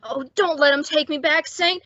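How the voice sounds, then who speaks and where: A woman acts a scared young male fugitive in a radio drama, stammering and desperate. The voice is high and sharply bright. It sounds close and dry, though a little muffled. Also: steady tone, dry recording. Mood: desperate, defensive. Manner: halting, stammering, pleading. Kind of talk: old-time radio melodrama acting.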